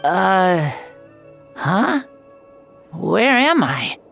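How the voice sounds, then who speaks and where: A woman voicing an earnest, wide-eyed young child in an anime game, speaking hesitantly and haltingly. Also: on the low side, sing-song, vocal fry, fairly bright tone, exaggerated voice. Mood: confused. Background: background music.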